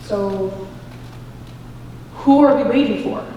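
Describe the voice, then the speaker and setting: A woman's voice: medium pitch, slow pace, mellow, highs cut off, natural voice. A young woman playing a bewildered, reluctant man trapped in a nightmare play, in a flustered, protesting manner. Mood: confused. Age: young adult. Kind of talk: stage comedy acting. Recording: echoey auditorium, distant mic, faint noise.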